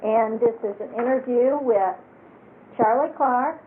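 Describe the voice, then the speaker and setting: A woman's voice: fairly high pitch, a little slow. A woman conducting a casual interview, chatty and hesitant with frequent fillers. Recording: tinny lo-fi home tape with hiss.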